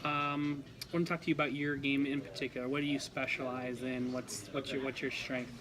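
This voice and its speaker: A man's voice: medium pitch, level tone, a little fast. Male podcast host, chatty, filler-heavy questions, mid-range voice, noisy crowd behind. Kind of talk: casual interview.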